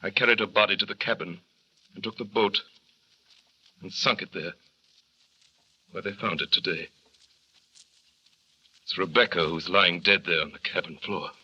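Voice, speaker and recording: An actor playing a tormented husband in an old radio drama, speaking gravely and low. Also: male voice, fairly even intonation, normal speed, long pauses, sharp tone, highs cut off, full character voice. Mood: despairing. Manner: grave, low-spoken confession. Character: tormented guilty husband, grown man, brooding. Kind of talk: 1940s radio acting.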